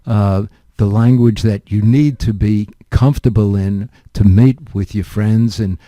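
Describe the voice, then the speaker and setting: A man's voice: lowish voice, fairly even intonation, unhurried, warm tone, no echo. An older man hosting a radio interview, asking chatty, rambling questions full of asides. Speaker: radio show host.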